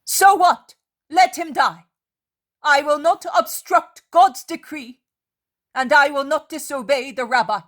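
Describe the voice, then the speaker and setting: A woman's voice: sits high, measured pace, voice pushed up. A woman voicing a fierce, devout woman of faith who commands in a thundering voice. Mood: furious. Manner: thundering, impassioned shouting.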